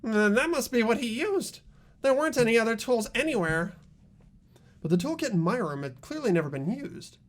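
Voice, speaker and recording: A man voicing an earnest high school boy in a mystery game, explaining a case in a deliberate, dramatic way. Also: lively intonation. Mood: puzzled, intent. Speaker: amateur voice actor in a game playthrough.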